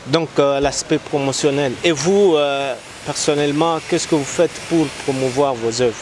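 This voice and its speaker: A man's voice: fairly high pitch, moderate pace, noisy background. A man hosting an interview, asking probing questions with many fillers. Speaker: interviewer, host.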